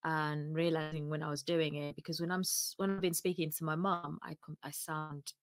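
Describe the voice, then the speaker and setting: Woman, English accent with Ugandan traces, casual podcast chat, laughs a lot, low-pitched, close and dry. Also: level tone, moderate pace, no echo. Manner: chatty, many restarts, laughs often. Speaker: podcast guest co-host.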